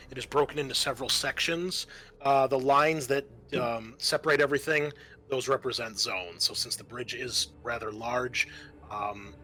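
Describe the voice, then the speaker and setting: Male game master, bright and clear-cut tone, medium pitch, informal descriptive narration for a tabletop roleplaying session.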